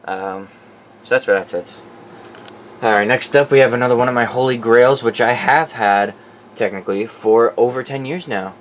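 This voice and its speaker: A man's voice: medium pitch, moderate pace, slight background noise. A man presenting his collection in a casual, off-the-cuff, informal way, sometimes profane. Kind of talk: casual off-the-cuff show-and-tell.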